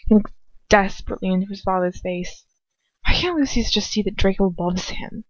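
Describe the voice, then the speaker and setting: A woman reading a story aloud as an amateur narrator, expressive, with the occasional stumble over words. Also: medium pitch, fairly quick, no echo. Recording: dull lo-fi close home recording.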